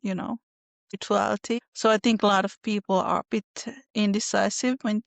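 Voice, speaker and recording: A woman's voice: medium pitch, little pitch movement, fairly quick, creaky, no echo. A woman from northern Finland with a Finnish accent, a guest on a podcast, answering thoughtfully with frequent fillers and restarts over a video call. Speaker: podcast guest, illustrator and folklorist. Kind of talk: casual interview answers.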